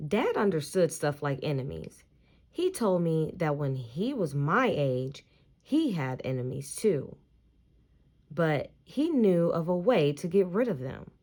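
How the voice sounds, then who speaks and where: A woman reading a children's picture book aloud, expressively and deliberately, stressing key words as she tells the story. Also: sits low, very animated, a little mellow.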